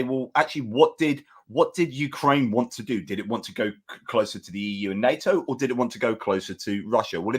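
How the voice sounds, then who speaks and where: A middle-aged man hosting an online interview from a book-lined home study, laying out an argument at length with asides. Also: fairly low pitch. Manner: discursive, argues a point at length with asides. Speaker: podcast host. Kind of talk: interview chat, thinking aloud.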